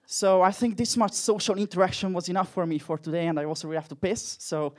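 A man's voice: high voice, steady tone, quick pace. A young man with a Hungarian accent doing a dry, self-mocking stand-up comedy set with crude jokes. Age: young adult.

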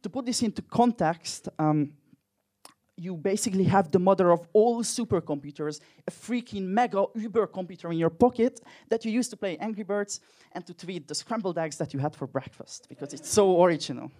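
A man's voice: high-pitched, lively intonation, fairly quick, warm tone. A young man with a Hungarian accent doing a dry, self-mocking stand-up comedy set with crude jokes. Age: young adult.

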